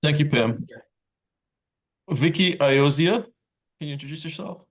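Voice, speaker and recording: A man moderating a panel discussion, reading prepared opening remarks in brisk stretches. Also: on the high side.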